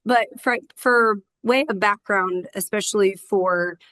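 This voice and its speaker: A woman giving a staff update at a board meeting over a video call, a little raspy and jet-lagged, friendly and conversational. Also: medium pitch, measured pace, bright, forceful tone. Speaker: staff presenter.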